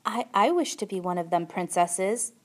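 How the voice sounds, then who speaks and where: Woman voicing a higher-pitched naive peasant girl. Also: normal speed, close to an everyday voice. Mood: wistful. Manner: simple rustic speech, childlike and earnest. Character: naive, wistful poor young peasant girl.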